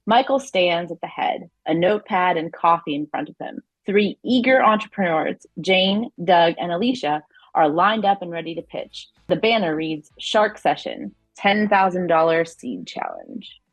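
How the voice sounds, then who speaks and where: A woman's voice: lowish voice, lively intonation, normal speed, no room sound, highs cut off, faint music behind. A woman narrating an audio play from a script, setting scenes and introducing characters.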